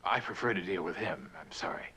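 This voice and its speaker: An actor playing a proud, bitter prospector, blunt and insistent, in a mid-century television drama. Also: male voice, deep voice, lively intonation, a little fast, pushed and bright, slight background noise. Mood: impatient. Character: proud, bitter mineral prospector, a man hard bargainer.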